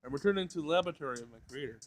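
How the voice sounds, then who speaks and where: Literal-minded schoolgirl android for a visual novel, formal, deadpan delivery, slightly high for a male read man acts it out. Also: racing, slight background noise, lightly acted. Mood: matter-of-fact. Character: blunt, literal-minded robot in a high school girl's body.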